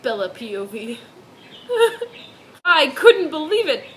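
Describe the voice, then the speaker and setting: High-pitched, animated woman reading mockingly. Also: slow, slight background noise. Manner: giggly, theatrical, sarcastic asides. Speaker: host reading fan fiction aloud. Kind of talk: mock-dramatic reading with joking commentary.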